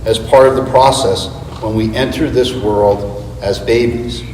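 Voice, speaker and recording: A man's voice: mid-range voice, fairly even intonation, a little slow, darkish tone. Guy doing earnest church readers theater, mid voice, far from the mic in a big echoey space. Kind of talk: scripted dramatic recitation. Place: large reverberant church space. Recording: echoey hall, distant mic, background noise.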